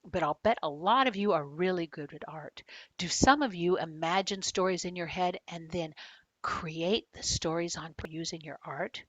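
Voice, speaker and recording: An older woman reading a picture book aloud to children, talking to them directly with questions and expressive storytelling. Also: on the low side, moderate pace, no room sound, muffled recording. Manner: addresses young listeners directly with questions.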